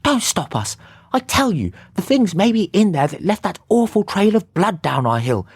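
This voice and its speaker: Reader voicing a desperate young woman, breathy, pleading, wildly swinging pitch. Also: big pitch swings, normal speed, a little mellow, no echo. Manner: pleading, tense. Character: young woman, anxious sister in distress.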